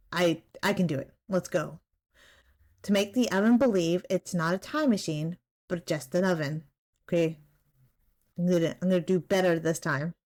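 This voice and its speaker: A woman doing a casual let's-play, reading game text aloud and murmuring to herself in a halting way. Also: on the low side, a little mellow. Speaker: gaming streamer playing a visual novel.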